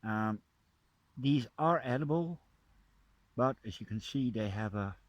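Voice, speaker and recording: A man with a Dutch accent, softly narrating a mushroom hunt in a forest as a nature vlogger. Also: mid-range voice, lively intonation, unhurried, no room sound. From Netherlands. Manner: soft-spoken, drops to a hushed near-whisper up close. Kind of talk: casual narration while foraging. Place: forest, outdoors.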